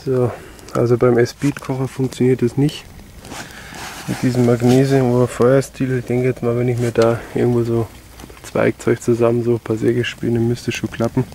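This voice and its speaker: A man's voice: medium pitch, monotone, moderate pace, vocal fry, mellow, a little hiss or hum. A young man casually talking through his cooking outdoors in a forest, in a laid-back, wry way, recorded on the camera mic. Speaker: bushcraft video maker.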